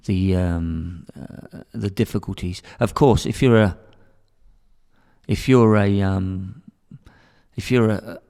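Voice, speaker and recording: A middle-aged British man with a lowish voice talks loosely in a podcast chat. He stumbles and repeats himself as he thinks aloud. The sound carries a noticeable room reverb. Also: takes it slowly, gravelly, mellow, smooth. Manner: rambling, repeats words and restarts often. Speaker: podcast guest, school academic director. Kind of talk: casual interview talk. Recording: hall reverb.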